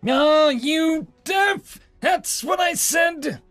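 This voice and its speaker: A man voicing a shrill, entitled human woman who shouts her demands at an officer in an audio story. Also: lively intonation, slow, creaky, heavily acted. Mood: shrieking. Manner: shrieking, demanding, furious.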